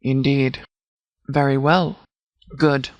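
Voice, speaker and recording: An actor playing a stern, calculating sheriff who commands a post-apocalyptic militia in a video game, speaking in a formal, measured, authoritative way. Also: male voice, medium pitch, little pitch movement, dry recording, lightly acted. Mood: firm, guarded. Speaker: sheriff and faction leader. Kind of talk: video game dialogue, briefing.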